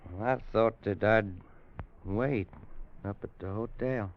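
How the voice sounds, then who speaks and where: Low-voiced folksy old cowboy storyteller. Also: male voice, a little hiss or hum. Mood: gentle, hesitant. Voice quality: folksy Western drawl. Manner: slow, homespun storytelling with dramatic pauses. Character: older plainspoken cowboy narrator, fond loyal companion. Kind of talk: 1950s radio western narration. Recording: muffled old-time radio recording.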